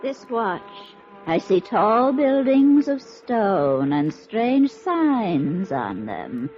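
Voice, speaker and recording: An actress playing a grandiose middle-aged spirit medium, intoning in a drawn-out trance manner in an old radio drama. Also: female voice, mid-range voice, very animated, a little mellow. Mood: trance-like, mysterious. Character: theatrical middle-aged woman spirit medium holding a séance. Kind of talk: 1950s radio drama acting. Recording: dull vintage radio recording, faint music.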